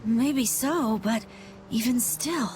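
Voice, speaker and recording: A woman's voice: a little high, slow, noisy background. A woman voicing an earnest, anxious young boy guild leader in a video game, speaking hesitantly. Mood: troubled.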